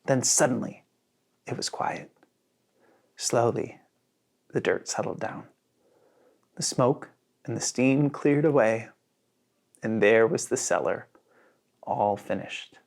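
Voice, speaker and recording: A narrator reading a children's storybook aloud with dramatic, expressive emphasis. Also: medium pitch, gravelly. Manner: dramatic, with sound words and slowed emphasis.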